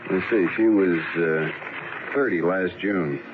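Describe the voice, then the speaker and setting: A man's voice: low-pitched, slow. An actor playing a mild, cautious male insurance man in a 1950s radio detective drama, businesslike and slightly hesitant. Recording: noisy, muffled vintage radio recording.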